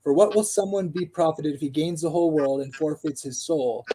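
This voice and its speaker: Husky man reading and musing aloud. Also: a little high, mellow, poor audio. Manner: thinks aloud, halting, with frequent fillers. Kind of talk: casual discussion, reading a text aloud.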